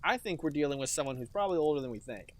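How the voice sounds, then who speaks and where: A man's voice: fairly high pitch, lively intonation, racing, slight background noise. A man co-hosting a comedy podcast, chatting casually and playfully and laughing easily at the material. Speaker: podcast co-host.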